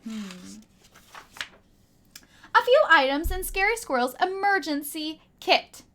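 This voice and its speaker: A woman teacher reading a children's picture book aloud with dramatic emphasis and hushed asides to young listeners. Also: extremely high pitch, sing-song, takes it slowly, slight background noise.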